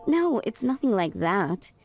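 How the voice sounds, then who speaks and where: A woman's voice: fairly high pitch, sing-song, slow, darkish tone, a little hiss or hum, exaggerated voice. An actress playing a playful, teasing woman tavern owner who jokes in a laid-back way, in game cutscene voice acting. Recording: game audio with faint music.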